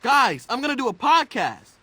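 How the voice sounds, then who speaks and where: A cocky teenage turtle boy with a high, bright voice. He talks in a breezy, joking, boastful way, as in an animated game, recorded close and dry. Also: moderate pace, husky, no room sound, full character voice. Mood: excited, boastful.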